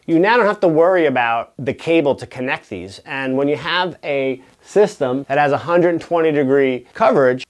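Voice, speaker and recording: A man giving a casual product review, explaining things conversationally and often checking in with the listener. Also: on the high side.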